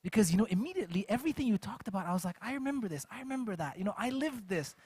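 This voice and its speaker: A man's voice: high voice, big pitch swings, rapid-fire, slightly rough. A man moderating a book fair panel, talking to the audience with enthusiasm. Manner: enthusiastic, warm welcome to audience. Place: event stage.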